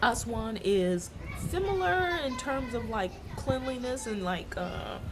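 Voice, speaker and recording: A woman's voice: a little high, animated, slow. An American woman giving casual travel advice to the camera in an informal, emphatic, advisory way. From United States. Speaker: travel vlogger. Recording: clear background ambient noise.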